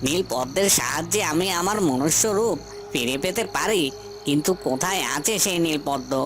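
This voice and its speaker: An earnest young fairy-tale prince played by a man, cursed and pleading. The male voice sits a little high and stays respectful, with music clearly audible behind it. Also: expressive, brisk, close to an everyday voice. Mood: surprised, eager. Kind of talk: dubbed cartoon fairy-tale acting.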